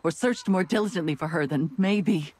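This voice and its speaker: A man's voice: a little high, normal speed, darkish tone, no room sound, natural voice. An actor playing a guilt-ridden but resolute young male adventurer in a video game. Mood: regretful. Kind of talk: video game dialogue acting.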